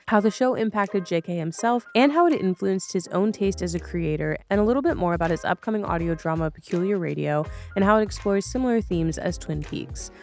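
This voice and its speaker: A woman's voice: fairly low pitch, darkish tone. A woman hosting a podcast in a casual, chatty, informal way. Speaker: podcast host. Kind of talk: casual conversational podcast talk.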